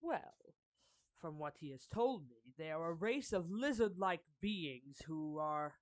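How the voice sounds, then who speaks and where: Casting: performer as a suave anime villain turned protective friend, formal and deliberate, slow delivery, mid pitch, dry close recording. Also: animated, no room sound. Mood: explanatory, grave. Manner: formal, measured, deliberate, pausing mid-phrase. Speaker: character voice actor. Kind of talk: anime fan roleplay monologue addressed to the listener.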